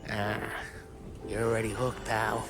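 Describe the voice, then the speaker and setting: A man's voice: fairly low pitch, completely flat delivery, measured pace, natural voice, rough recording. A man playing a world-weary, restless middle-aged American mobster in a cinematic video game, with streetwise, foul-mouthed delivery. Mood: wistful. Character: world-weary, restless mobster nearing forty, dreaming of escape. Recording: music under the dialogue. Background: background music.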